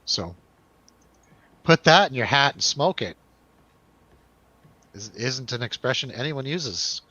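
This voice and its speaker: A man's voice: mid-range voice, expressive, takes it slowly, bright, forceful tone, highs cut off. A man hosting a casual movie review chat, joking and irreverent in the way he talks. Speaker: host of a movie review show.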